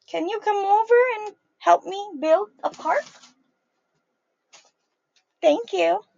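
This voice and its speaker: A young woman reading a picture book aloud to young children in a sing-song, animated way, calling them her friends. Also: very high-pitched, slow, gravelly, no echo, muffled recording. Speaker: early childhood storyteller.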